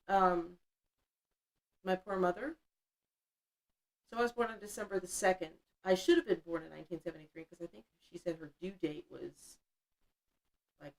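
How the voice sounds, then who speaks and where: A woman's voice: medium pitch, fairly bright tone, rough recording. A woman in her fifties hosting a casual livestream, chatty and blunt, laughing often as she reads the chat. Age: 50s. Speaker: livestream host.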